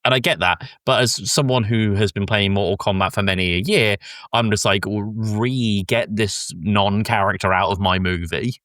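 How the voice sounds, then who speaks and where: A man's voice: lowish voice, moderate pace, a bit bright, no room sound, studio quality. A man co-hosting a podcast, recapping and giving opinions on a film casually, as an enthusiastic fan. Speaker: podcast co-host.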